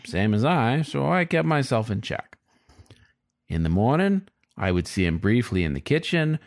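A man voicing a sly, frustrated male fox who narrates his story in a wry, confiding, colloquial way, as in an audiobook. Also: mid-range voice. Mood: resigned, frustrated.

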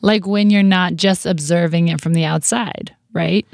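A woman's voice: lowish voice, steady tone, normal speed, creaky, darkish tone, no echo, clean studio sound. An American woman co-hosting a podcast in a conversational style. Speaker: podcast co-host.

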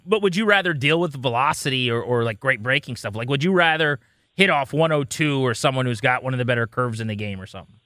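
A man hosts a casual sports radio interview in a bright, punchy voice of medium pitch. He speaks very quickly, and the studio sound is dry. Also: no room sound.

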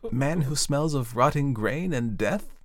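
A man's voice: medium pitch, animated, a little slow, voice pushed up, natural voice. A man voicing a loyal, mischievous undead demon nephew in a folk tale, speaking simply and earnestly. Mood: concerned.

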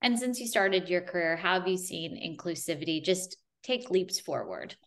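A woman's voice: fairly low pitch, expressive, moderate pace. A woman hosting a podcast, putting interview and listener questions to a guest. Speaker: podcast host.

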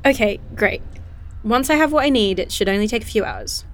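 A woman acting naturalistically in an audio drama as a caring, no-nonsense scientist and medic, with a dry, brisk, informal manner. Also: on the high side, lively intonation, close to an everyday voice. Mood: matter-of-fact.

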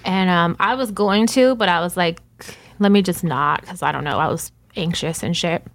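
A woman's voice: fairly low pitch, normal speed, dry recording. A middle-aged woman, co-hosting a podcast in frank, profane casual chat with lots of fillers. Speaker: podcast co-host.

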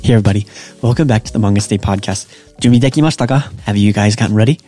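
A man hosting a podcast, giving a casual language lesson and slowly repeating example phrases. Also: fairly low pitch, mellow, soft background music. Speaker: podcast host and Japanese teacher.

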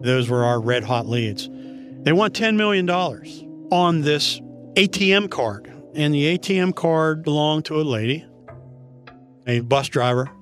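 Man, somewhat high voice, investigator interviewee, conversational recollection, faint music behind. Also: lively intonation, unhurried.

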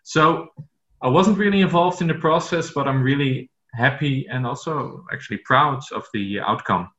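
A man from the Netherlands whose first language is Dutch, speaking English as a podcast guest and talking haltingly, with many fillers and restarts. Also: mid-range voice, bright, forceful tone, echoey room, lo-fi sound. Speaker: author guest. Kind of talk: podcast interview.

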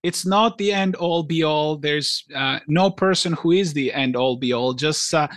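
A man from Greece co-hosting a podcast in casual chat, talking haltingly with many restarts and fillers. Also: a little high, no echo, studio quality. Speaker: podcast co-host.

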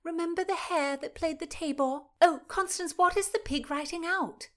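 Chattering young court lady, high gushing voice, eager, voiced by a woman. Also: normal speed, fairly bright tone.

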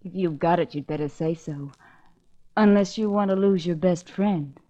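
An actress playing a seductive, scheming femme fatale in a 1940s radio drama, with a sultry, calculating delivery. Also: female voice, lowish voice, moderate pace, warm tone, lightly acted. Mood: threatening. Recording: dull vintage radio recording.

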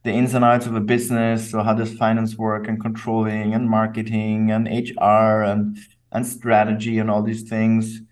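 A young adult man from Austria, bilingual in English and German, answering interview questions in a thoughtful, measured way with fillers and restarts. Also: on the low side, monotone, darkish tone, distant mic. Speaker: company co-founder, guest.

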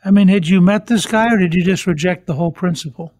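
An older man hosting a podcast, introducing his guest in a measured, formal way and asking her questions. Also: high-pitched, a little mellow. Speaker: podcast host.

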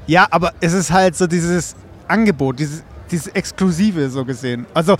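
A man's voice: on the high side, moderate pace. A young man in casual banter, rambling along in a joking, conversational way. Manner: joking, loose rambling storytelling.

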